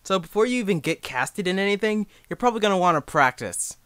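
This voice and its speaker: Young adult male amateur tutor, slightly high voice, rambling and self-effacing, recorded dry without room sound. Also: expressive, fairly bright tone, smooth, no echo. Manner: rambling, halting, self-deprecating. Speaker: amateur voice actor giving advice. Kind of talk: casual unscripted tutorial.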